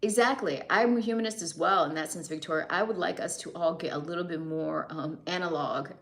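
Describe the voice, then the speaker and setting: A woman's voice: lowish voice, a little fast, fairly bright tone. A young American woman chatting casually on a live stream, answering viewers' comments and laughing often. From United States.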